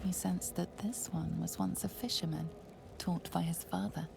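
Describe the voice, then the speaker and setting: A woman playing the ominous narrator of a dark fantasy game, speaking in a measured, hushed way. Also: fairly low pitch, fairly even intonation, vocal fry, heavy background noise.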